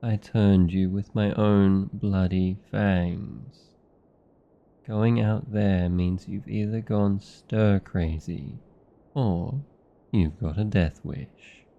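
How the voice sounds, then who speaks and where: Casting: male actor as weary, aristocratically haughty vampire lover, British accent, gravelly voice, intimate ASMR roleplay delivery, slightly dull recording. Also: on the low side, steady tone, full character voice. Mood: stern concern. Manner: slow, deliberate, theatrical, condescendingly affectionate.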